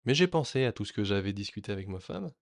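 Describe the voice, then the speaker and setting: A man sharing a personal story in a reflective, earnest way, often pausing and restarting his sentences. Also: on the low side, big pitch swings, gravelly, no echo, studio quality. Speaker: vlogger.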